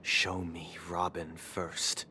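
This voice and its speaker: A man's voice: low-pitched, monotone, very slow, sharp tone, slight background noise, full character voice. A man playing a stern, distrustful family head in a video game, speaking in a formal, haughty, curt way. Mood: suspicious. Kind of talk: video game character acting. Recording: faint game music behind.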